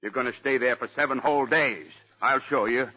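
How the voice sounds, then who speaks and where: An actor playing an irritable, blustering American police commissioner in an old radio drama. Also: male voice, medium pitch, brisk, soft background music, close to an everyday voice. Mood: triumphant. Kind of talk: 1940s radio drama acting. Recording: muffled old radio recording.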